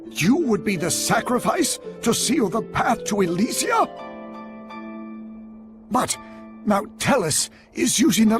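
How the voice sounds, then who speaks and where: A man playing a wise, sorrowful old guardian in a video game, speaking in a formal, philosophical way. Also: high voice. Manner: formal, philosophical, solemn speech. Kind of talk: dramatic video game voice acting. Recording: music under the voice.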